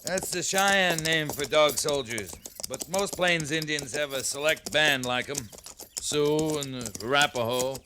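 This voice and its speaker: An American actor playing a wounded, steady army captain in an old radio western, speaking in a measured, understated, commanding way. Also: male voice, on the high side, lightly acted. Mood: weary, dry. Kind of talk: 1950s radio western acting. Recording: noisy 1950s radio recording.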